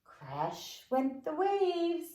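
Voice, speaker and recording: A woman with a high, very animated voice leads nursery rhymes and finger plays for young children. She is playful and encouraging, with a sing-song delivery. The sound is roomy and echoing. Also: drawn-out words. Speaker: nursery school teacher.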